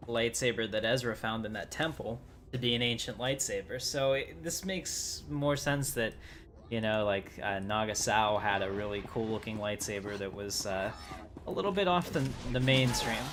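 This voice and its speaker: A man's voice: fairly low pitch, normal speed, a bit bright. A man giving casual live commentary as he streams a game, rambling and hesitant in a laid-back way. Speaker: game livestreamer. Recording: clear game noise behind the voice. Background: video game ambience.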